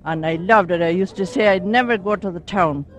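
A man giving short, halting answers in an interview, with frequent pauses and fillers. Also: high-pitched, fairly bright tone.

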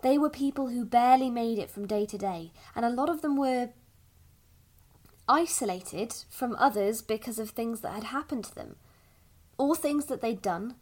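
A woman acts a patient mother in a fantasy audio drama. Her voice sits a little high, and she chooses her words with care as she gently explains things to a small child. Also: close to an everyday voice. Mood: thoughtful, explaining. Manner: careful, measured explaining with thoughtful hesitations.

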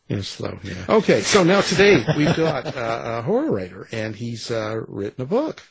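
A man's voice: mid-range voice, very animated, moderate pace, vocal fry, breathy edge. A man hosting a casual interview show, talking in a joking, rambling way. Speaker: show host interviewing an author.

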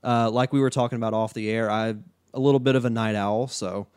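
A man hosts a laid-back podcast conversation. His voice is smooth and resonant at a middle pitch, and he talks in an easygoing way, restarting phrases as he goes. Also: brisk. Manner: relaxed, chatty, with frequent restarts. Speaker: podcast host. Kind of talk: casual podcast interview.

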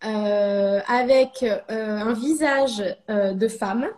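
A woman's voice: on the high side, extremely slow, a little mellow, slight background noise. A French woman in her thirties, a ceramic artist, talking casually in a podcast interview, hesitant with many fillers and restarts. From France.

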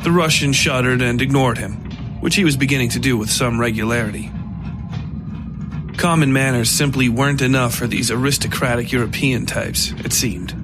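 A man with a mid-pitched voice narrates dark fiction from a script in a deliberate, descriptive manner. Clear music plays underneath the reading. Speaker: audiobook-style narrator.

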